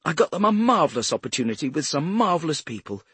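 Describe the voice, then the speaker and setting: A haughty older woman with a cultured English accent, as a male narrator puts her on. The voice is raised well up and swings with indignation and prim self-regard. It sounds a little husky and close, like a storybook character. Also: a little high, sing-song, fast, no room sound, voice pushed up, full character voice. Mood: indignant, self-righteous. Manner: prim, self-righteous, sharp. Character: cultured, haughty retired care-home matron, a grandmother.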